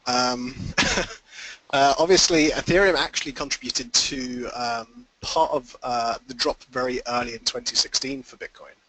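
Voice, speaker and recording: A man's voice: mid-range voice, fairly even intonation, measured pace, fairly bright tone, dry recording, low-quality recording. A man giving informal, explanatory answers as an investor guest in a conference call interview.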